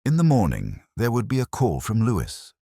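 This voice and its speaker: A man playing a weary, melancholy older police detective, narrating an audio drama in a measured, reflective, literary way. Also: lowish voice, wildly swooping pitch, creaky, dark tone, husky, no echo, studio quality, exaggerated voice. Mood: brooding, reflective.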